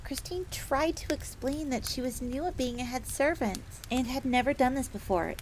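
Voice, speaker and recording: A woman's voice: fairly high pitch, lightly acted. A woman voicing a polite, eager fox maid assassin who tells her tale in the third person in an audio roleplay. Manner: refers to herself in third person, genteel. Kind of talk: in-character storytelling, audio roleplay. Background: crackling fire ambience.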